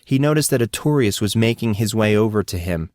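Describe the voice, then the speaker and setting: A man's voice: lowish voice, lively intonation, a little fast, darkish tone, no room sound, clean studio sound. A man narrating a scripted fantasy story as an audiobook narrator.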